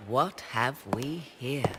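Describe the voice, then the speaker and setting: An actress playing a sly, mocking court sorceress in a video game, with theatrical, drawn-out phrasing. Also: female voice, very deep voice, heavy background noise. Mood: mocking, intrigued. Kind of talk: video game dramatic acting.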